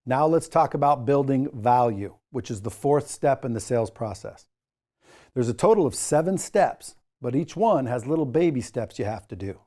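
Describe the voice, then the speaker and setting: A man with a mellow, darker-toned voice at a medium pitch teaches as a course instructor. He addresses the learner directly in a coaching style, stressing his points while keeping the wording informal. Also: lively intonation, normal speed. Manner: emphatic, jokey asides, coaching tone. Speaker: sales trainer, course instructor.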